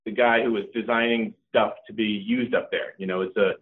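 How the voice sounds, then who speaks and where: A middle-aged man, a company co-founder, talking casually in an interview with a relaxed manner and many ums and restarts. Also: on the low side, fairly quick. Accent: Californian. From Norway. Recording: tinny phone-like line.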